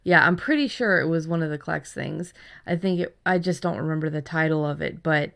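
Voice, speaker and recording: A woman's voice: sits low, a little fast, gravelly, a bit bright. A woman co-hosting a casual conversation about writing, talking candidly and with some self-deprecation. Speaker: podcast co-host and fiction writer.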